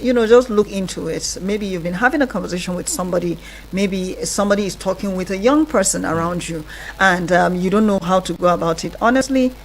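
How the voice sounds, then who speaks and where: A woman's voice: on the low side, a little hiss or hum. Nigerian woman hosting talk radio. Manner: affirming, often echoes the other speaker. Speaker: radio host. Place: radio studio.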